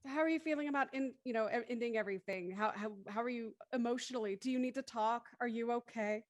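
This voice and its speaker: A woman's voice: a little high, quick pace, dry recording. A woman hosting and moderating a casual group discussion. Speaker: host and moderator of a cast discussion.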